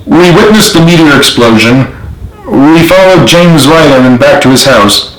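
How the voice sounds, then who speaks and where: A bold, indignant boy, acted out by a man reading a storybook makes emphatic declarations at a raised pitch. The room echo is plain. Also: lively intonation, normal speed, fairly bright tone. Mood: earnest.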